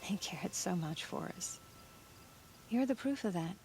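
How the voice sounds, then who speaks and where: An actress playing a weary wife and mother freed from captivity in a video game, speaking in a subdued, wistful, halting way. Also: on the low side, animated, darkish tone, slight background noise. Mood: grieving, subdued.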